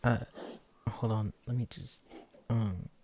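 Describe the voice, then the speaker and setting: A man's voice: lowish voice, flat delivery, takes it slowly, a little mellow, a little hiss or hum. A man playing a wary, protective psychic escapee in an immersive audio drama, speaking directly to a companion. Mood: curious, wry. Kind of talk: immersive roleplay audio drama, addressing the listener.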